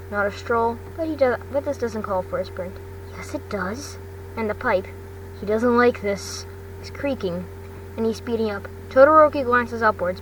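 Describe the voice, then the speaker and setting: A woman reads fiction aloud in a fairly high voice. Her delivery is halting, often stumbling and correcting herself. She sits a little away from the microphone, with faint noise behind her. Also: far from the mic, rough recording. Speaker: fan fiction reader. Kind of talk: amateur audiobook-style story narration.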